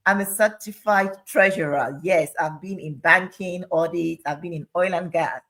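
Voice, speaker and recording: A woman's voice: lowish voice, moderate pace, fairly bright tone. Upbeat Nigerian-born woman on a panel. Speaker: panel guest, accountant. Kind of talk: live online panel chat.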